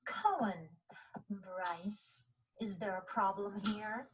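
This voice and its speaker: A woman's voice: mid-range voice, takes it slowly, close to an everyday voice. Woman playing a sugary superhero-school teacher, noisy recording. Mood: condescending. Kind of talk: scripted audio drama acting.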